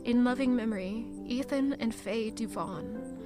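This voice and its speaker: A woman playing a quietly resolute young woman in an audio drama, narrating in a measured, reflective way. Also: on the high side, over music, close to an everyday voice. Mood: brooding, wistful. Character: guilt-ridden, quietly resolute young woman returning to her hometown. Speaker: narrator and lead character. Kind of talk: first-person scripted audio drama narration.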